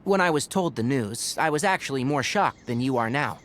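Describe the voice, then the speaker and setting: A man voicing a sensible, dry-witted young forest ranger who explains things calmly. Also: mid-range voice, a little fast, a little hiss or hum, close to an everyday voice. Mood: level. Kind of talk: video game voice acting.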